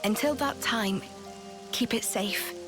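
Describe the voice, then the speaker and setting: A woman playing a weary, regal queen in a video game, speaking gravely and calmly with a hushed, measured manner. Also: on the high side, music in the background. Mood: solemn, weary. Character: solemn, weary, regal queen, cryptic and calm. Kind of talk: video game cutscene acting.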